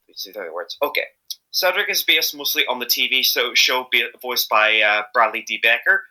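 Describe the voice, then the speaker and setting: A man's voice: mid-range voice, pushed and bright, poor audio. A man chatting casually as an interviewed amateur voice actor, rambling and hesitant, often correcting himself as he goes.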